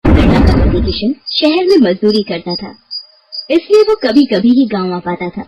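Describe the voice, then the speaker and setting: A woman's voice: medium pitch, big pitch swings, moderate pace, dark tone, poor audio. A woman narrating scripted moral stories as a storyteller.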